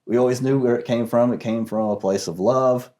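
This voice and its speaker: A man speaking as a guest in a discussion, reflective and soft-spoken, with many pauses and fillers. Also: mid-range voice, fairly even intonation. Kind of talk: casual discussion.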